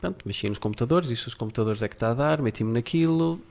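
A middle-aged man from Spain with a mixed Spanish and Portuguese accent, speaking as a guest and reflecting in a relaxed way. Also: medium pitch, fast, creaky. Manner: reflective, many fillers and restarts. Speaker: guest photographer. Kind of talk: casual interview talk.

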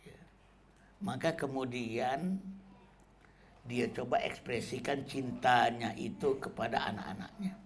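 A middle-aged man giving a relaxed, conversational talk on parenting, often tagging sentences with casual fillers. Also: mid-range voice. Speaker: parenting speaker.

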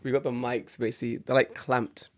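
A young man co-hosting a casual podcast, his voice raspy and hoarse, laughing often as he chats. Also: medium pitch, flat delivery, brisk. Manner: laughs often, mock voices when joking. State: hoarse from karaoke. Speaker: podcast co-host. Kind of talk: casual chat.

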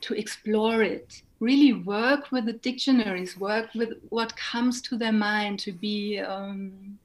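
A German-accented woman, a native German speaker, answering interview questions in English with thoughtful, measured explanations. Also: medium pitch. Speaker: guest, musician and language coach. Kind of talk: conversational interview.

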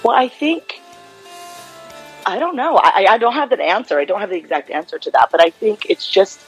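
Husky-voiced American woman guest. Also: mid-range voice, sing-song, fairly quick, faint music behind, rough recording. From Los Angeles, United States. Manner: chatty, laughing easily, with many restarts. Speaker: guest, clinical psychologist. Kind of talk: casual radio interview.

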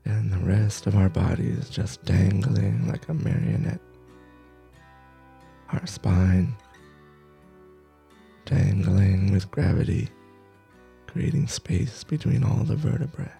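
A man leading a guided meditation, soft-spoken, in short halting phrases with long pauses. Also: lowish voice, gravelly, soft background music. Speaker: meditation teacher.

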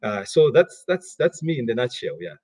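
A man's voice: medium pitch, big pitch swings, normal speed, a little mellow, no echo, clean studio sound. A middle-aged man from Malawi, a guest in an interview, who often repeats words while gathering his thoughts. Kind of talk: interview conversation.

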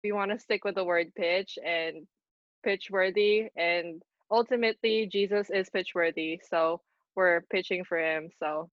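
A woman's voice: on the low side, measured pace, dry recording, muffled recording. A woman in her twenties from the Philippines, co-hosting a podcast in casual, earnest chat. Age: 20s. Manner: earnest, upbeat, many fillers. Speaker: podcast co-host.

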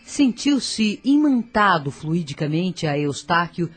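A woman narrator of a scripted radio drama, husky and dramatic, telling the story with a moralizing turn. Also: on the low side, big pitch swings, slow pace, soft background music.

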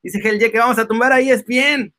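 Excitable, high-voiced Mexican man, bright tone. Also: fast. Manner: excitable, playful, exclamatory. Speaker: live stream co-host. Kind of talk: casual live-stream banter.

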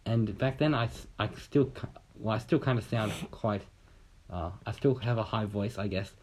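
A man's voice: on the low side, moderate pace, darkish tone. A young man from Australia telling a long, rambling story in casual chat on a podcast, swearing casually and acting out what people said.

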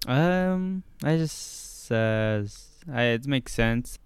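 Male co-host, slow and halting, crisp low voice, dry close recording. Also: expressive, no echo. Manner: slow, hesitant, many fillers. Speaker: podcast co-host. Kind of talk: casual scripture discussion.

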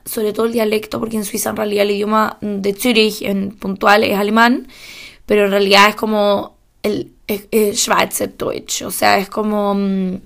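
A woman's voice: on the high side, creaky. A young woman from Chile with a Chilean Spanish accent, talking casually on her own podcast, chatty with fillers and small laughs. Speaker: podcast host.

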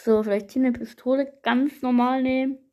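A woman with a fairly high voice improvises a game roleplay as narrator and player. Her delivery is casual, chatty and halting. She often turns to speak directly to her listeners. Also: steady tone, very noisy. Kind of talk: improvised, casual first-person game storytelling.

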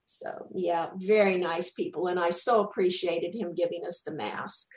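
A chatty woman from Texas with a Southern drawl, talking about her acting work in an easygoing interview. Her voice sits on the lower side, and the room around her rings with echo. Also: steady tone, moderate pace. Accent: Southern US, Texan. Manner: chatty, warm, repeats words when starting. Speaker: actress, interview guest. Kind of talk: casual interview chat.

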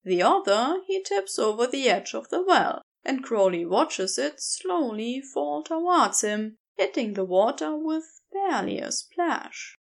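A woman narrating a scripted story in an expressive, measured storytelling manner. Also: sits high, vocal fry. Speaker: podfic narrator.

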